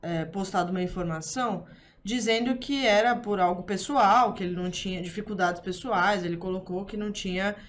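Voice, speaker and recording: A woman's voice: medium pitch, a little fast. A woman hosting a political commentary discussion, who talks hesitantly with many fillers and restarts. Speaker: host.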